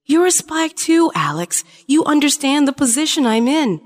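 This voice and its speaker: A woman's voice: high voice, sing-song, normal speed. A woman playing a shapeshifting alien spy in an audio drama, a conflicted lover pleading her case earnestly. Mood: regretful, firm. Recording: long reverberant hall sound.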